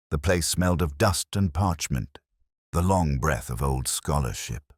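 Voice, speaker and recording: Male narrator for literary detective fiction: extremely low register, measured and solemn yet varied in intonation. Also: breathy edge, dry recording, studio quality. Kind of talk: scripted first-person detective story narration.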